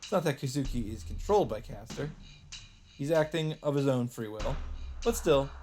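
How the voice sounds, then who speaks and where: A man's voice: medium pitch, animated, normal speed, a little mellow. Man reading game narration over faint music. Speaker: let's play host. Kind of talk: reading visual novel narration aloud.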